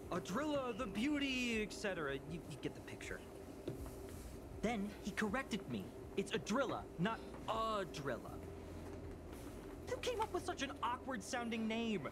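A man's voice: high voice, wildly swooping pitch, measured pace, full character voice. A man voicing a jaded, whiny young office worker in a video game, complaining with exasperated drama. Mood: incredulous, mocking. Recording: game audio with clear background noise.

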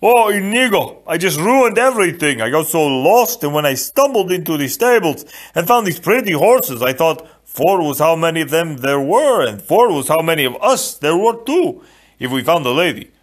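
Man as a childlike gentle giant, high and pleading. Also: big pitch swings, normal speed. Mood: sheepish, eager. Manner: pleading, naive, rambling.

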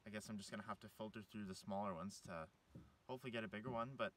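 A man's voice: on the low side, rapid-fire. A young man chatting casually to camera as he films himself fishing from a boat on a lake. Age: young adult. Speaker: angler filming his fishing trip. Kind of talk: casual vlog chat to camera. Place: outdoors on a small motorboat on a lake. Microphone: action camera mounted on the boat, some distance away. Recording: distant mic, loud boat and water noise.

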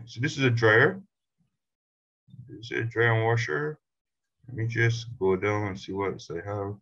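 A man teaching a screen-recorded software tutorial, explaining step by step in a relaxed way with fillers. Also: fairly low pitch, lo-fi sound. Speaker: instructor.

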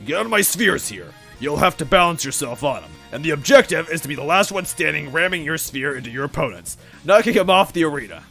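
A man voicing a gleefully cruel, bombastic monster game-show boss in a story, with a gravelly, taunting showman's delivery. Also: fairly high pitch, very animated, brisk, hardly any pauses, soft background music, voice pushed up, exaggerated voice. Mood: bossy, impatient. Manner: taunting, shouting showman, sputtering.

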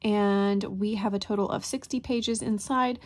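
A woman's voice: mid-range voice, steady tone, moderate pace. A woman giving a casual, chatty, enthusiastic craft flip-through, narrating informally as she presents handmade items. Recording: clear background noise, handling clicks.

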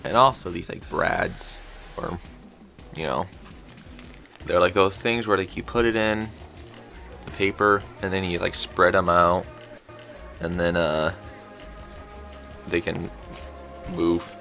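Low-pitched man, halting casual showcase. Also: steady tone, creaky, sharp tone. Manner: informal, halting, rambling delivery. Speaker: craft video creator showing a homemade puppet. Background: background music.

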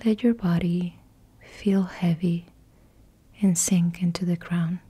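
A woman whispering slow, soothing guided yoga nidra instructions into a close mic. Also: fairly low pitch, fairly even intonation, warm tone, husky. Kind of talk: guided meditation.

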